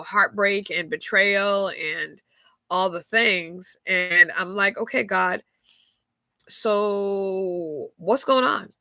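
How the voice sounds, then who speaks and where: Stuffy-nosed chatty woman, bright tone. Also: fairly low pitch, dry recording. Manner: candid, chatty, frequent fillers and laughs. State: stuffy nose. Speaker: podcast host. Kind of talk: casual faith-centered chat.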